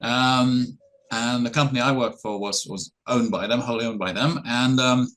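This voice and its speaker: A man's voice: medium pitch, fairly even intonation, normal speed, a bit bright, rough recording. Middle-aged guy speaking english, lots of ums and uhs, easygoing and a bit self-mocking. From Norway. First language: Norwegian. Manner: hesitant, many ums and uhs, chuckles, self-deprecating. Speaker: interview guest. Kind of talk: relaxed conversational answers.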